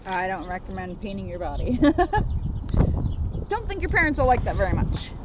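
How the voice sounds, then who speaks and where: A woman's voice: medium pitch, very animated, normal speed. A woman chatting casually in a joking, jovial manner. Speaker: police officer co-hosting a read-aloud. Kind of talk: casual chat. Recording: noisy, muffled recording.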